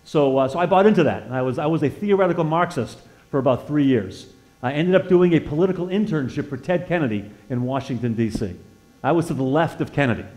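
An American man in his sixties giving an informal sermon with personal testimony as a guest preacher in a church, conversational and halting, repeating words as he feels his way and putting questions to his listeners. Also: fairly high pitch, expressive, darkish tone. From northeastern United States. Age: 60s. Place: church sanctuary, indoors, at a lectern.

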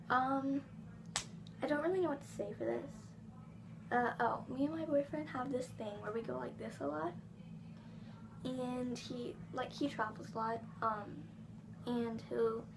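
A woman's voice: high-pitched, gravelly, background noise. A fourteen-year-old girl from England with a slight Southern US accent, chatting bubbly to camera at home with lots of ums. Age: teens. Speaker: vlogger. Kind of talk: casual chat to camera. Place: living room at home, indoors. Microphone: device camera on table.

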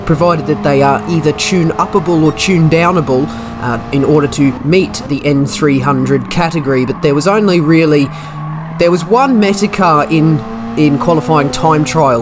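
A young Australian man commentating his racing game laps in a chatty, self-deprecating way, a little nasal from a cold, on a desk microphone with game sound underneath. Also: on the high side. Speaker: gaming commentator. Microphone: desk USB microphone.

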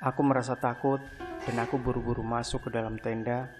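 A male narrator reads a first-person horror tale in a scripted, evenly paced style. His pitch barely moves, staying in a medium register. Clear background music plays beneath his voice. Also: flat delivery, quick pace. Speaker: story narrator.

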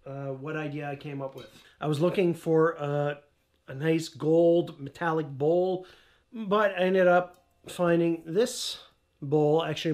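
A man's voice: fairly high pitch, a little mellow. Chatty man in an echoey garage. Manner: chatty, offhand, with small jokes and asides. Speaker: DIY home gym channel host. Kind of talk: casual how-to vlog. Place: cluttered garage home gym, indoors. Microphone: camera-mounted mic, a few meters off. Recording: echoey garage room with faint noise.

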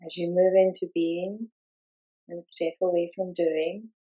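Slow, low-voiced woman guiding meditation. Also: steady tone, husky, lo-fi sound. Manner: soothing, unhurried, gentle instruction with long pauses. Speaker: guided meditation teacher. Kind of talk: guided meditation narration.

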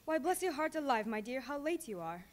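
Actress as a busy poor mother: quick, high and indignant. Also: big pitch swings, very noisy. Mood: bustling. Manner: brisk, fussing, indignant. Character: bustling, sharp-tongued mother of a poor family. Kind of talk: live stage reading.

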